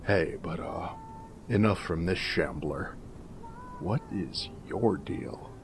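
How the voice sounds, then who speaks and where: Weathered, friendly male ghoul, audio roleplay: wry and joking, heavy gravel and fry, unhurried drawl. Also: low-pitched, background noise, heavily acted. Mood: teasing, friendly. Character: snarky, friendly male ghoul wasteland companion, weathered and irradiated. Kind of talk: character roleplay audio drama.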